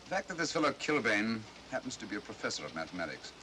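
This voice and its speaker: A cool, analytical British detective from a 1940s mystery. His delivery is articulate and fast, with lively swings of pitch and dry understatement. The soundtrack is old and slightly noisy. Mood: grave, analytical. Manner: precise, articulate, dryly understated. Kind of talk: 1940s mystery film acting.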